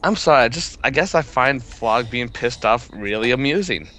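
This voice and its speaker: A man's voice: mid-range voice, expressive, normal speed, pushed and bright. A man chatting casually with friends over a voice call while playing an online game. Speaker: player in an online horror game. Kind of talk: casual chat while gaming.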